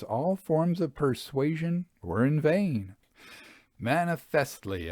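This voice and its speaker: A man voicing a wistful, genial Victorian gentleman traveler in a formal, droll, expressive storybook reading. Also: mid-range voice, no echo, studio quality, close to an everyday voice. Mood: resigned. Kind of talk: dramatic first-person storybook reading.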